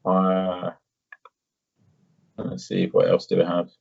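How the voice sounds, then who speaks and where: A man's voice: deep voice, monotone, slow pace, creaky, darkish tone. A man with an Edinburgh Scottish accent presenting a casual live question-and-answer session, polite and conversational with a hesitant delivery. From Edinburgh, Scotland. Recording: dull, slightly muffled audio.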